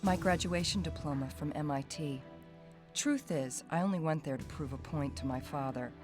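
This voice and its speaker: An actress playing a poised, vengeful young heiress in a video game, cool and dry in delivery. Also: female voice, deep voice, moderate pace, music in the background, close to an everyday voice. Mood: matter-of-fact, reminiscing. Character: poised, sardonic, vengeful young heiress, murdered man's daughter. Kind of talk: video game cutscene acting.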